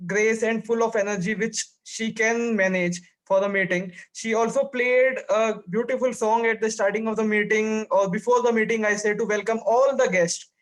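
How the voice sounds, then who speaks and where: A man with a high voice leads an online meeting over a video call. He speaks politely, with formal, careful phrasing. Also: steady tone, normal speed, hardly any pauses, poor audio. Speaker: meeting toastmaster and general evaluator.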